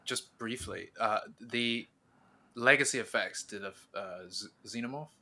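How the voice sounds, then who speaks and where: Bright, forceful low-voiced man on a podcast. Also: unhurried. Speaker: podcast co-host. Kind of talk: casual chat.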